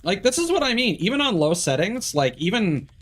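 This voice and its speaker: A man's voice: sits high, big pitch swings, a little fast, fairly bright tone, a little hiss or hum. A man giving casual live game stream commentary, rambling and thinking aloud into a streaming microphone.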